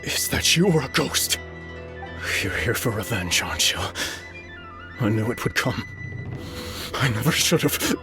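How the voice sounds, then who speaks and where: A man playing a guilt-ridden, terrified swordmaster in a video game, gravelly and halting as he pleads. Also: mid-range voice, sing-song. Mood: fearful, haunted. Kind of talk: dramatic video game dialogue. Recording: loud game music behind the voice.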